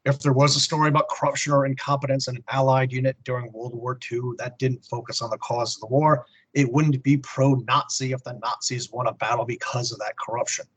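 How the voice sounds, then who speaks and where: A man's voice: medium pitch, steady tone, fairly quick, fairly bright tone, slightly rough, poor audio. A man co-hosting a podcast, chatting casually and reading listener mail aloud. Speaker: podcast co-host.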